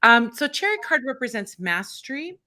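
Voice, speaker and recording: Brief: woman host of a live tarot stream, bright voice, chatty and encouraging. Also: a little high, sing-song, moderate pace. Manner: chatty and encouraging, thinks aloud while fumbling with the stream setup. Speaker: tarot reader hosting a fundraiser stream.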